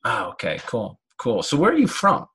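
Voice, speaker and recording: A man's voice: medium pitch, wildly swooping pitch, moderate pace, a bit bright, husky. A man hosting a casual interview, dropping dry teasing jokes and short agreeing replies. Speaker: podcast host and stand-up comedian.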